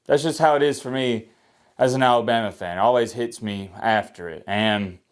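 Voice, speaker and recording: A man, rambling through casual sports commentary to his viewers with lively, rising emphasis and the odd sigh. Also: clear, resonant tone. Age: 20s. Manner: animated, rising pitch when emphatic, sighing. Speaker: sports commentator and fan vlogger.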